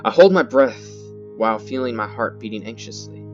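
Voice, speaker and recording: A man reading horror game text aloud in a theatrical, halting way, repeating words and adding his own comments. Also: mid-range voice, animated. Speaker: gaming video host. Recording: dull lo-fi sound with loud music. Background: loud guitar music.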